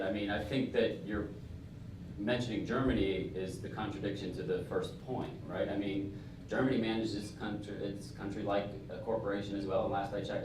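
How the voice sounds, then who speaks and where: A man arguing his point at a panel event, emphatic and leaning on "I mean". His voice is lowish and sounds far from the mic, ringing in a very echoey room over a steady hiss of noise. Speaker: business school dean, host. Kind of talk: panel discussion answer. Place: event venue with audience.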